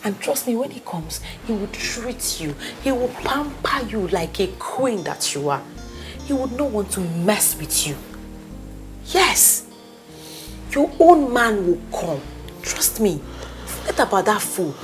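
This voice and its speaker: A woman's voice: medium pitch, big pitch swings, slow pace, soft background music. Woman playing a pushy Nigerian best friend. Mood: comforting. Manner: emphatic, insistent, coaxing pep talk. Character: bossy, loyal best friend hyping her sister up. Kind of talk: skit acting.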